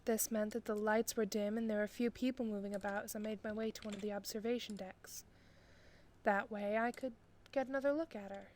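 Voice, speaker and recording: An earnest female space officer voiced by an actress narrates an audio drama. Her delivery is measured and wistful, in a mid-range voice. There is some faint noise with clicks and typing behind her. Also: medium pitch, steady tone, darkish tone, natural voice.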